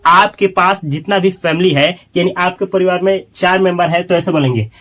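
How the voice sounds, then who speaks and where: A man teaching a spoken English lesson, explaining in Hindi and reading English sample sentences slowly and deliberately. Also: high-pitched, fairly bright tone.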